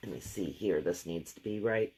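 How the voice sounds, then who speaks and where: Deep, gravelly-voiced woman painting live. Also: moderate pace, mellow. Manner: chatty, thinks aloud while working. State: lingering gravelly voice after a cold. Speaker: craft painting host. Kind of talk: casual live painting demo.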